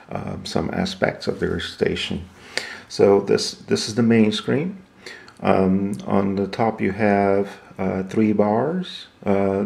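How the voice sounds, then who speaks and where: A man giving an unscripted, hands-on equipment walkthrough in a halting, explanatory way. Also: deep voice, gravelly, a little mellow. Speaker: product demonstrator.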